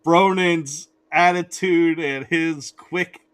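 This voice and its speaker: A man's voice: on the high side, steady tone, takes it slowly, a bit bright, clean tone. A man hosting a podcast, chatting casually in an easygoing, rambling way with restarts. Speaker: podcast host.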